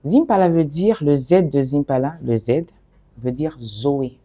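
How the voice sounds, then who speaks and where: A middle-aged woman from the DR Congo, an interview guest who talks about her work in an animated, expressive way and often restarts her phrases. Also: sits low, a little slow. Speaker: guest, fashion designer and author. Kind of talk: conversational interview.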